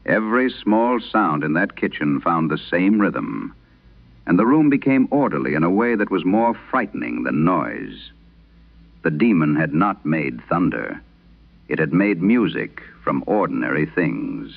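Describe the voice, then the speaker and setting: Guy doing an old priest exorcist in a horror radio play, grave and haunted, gravelly, swings up and down a lot. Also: low-pitched, narrow, tinny audio, exaggerated voice. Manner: grave, measured, solemn. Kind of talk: dramatic first-person horror radio storytelling.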